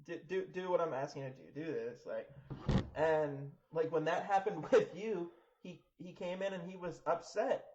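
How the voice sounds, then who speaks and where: A man's voice: a little high, moderate pace, noisy background. A man in his thirties in casual chat, blunt, joking and swearing freely. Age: 30s. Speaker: wrestler, podcast co-host. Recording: dull lo-fi sound.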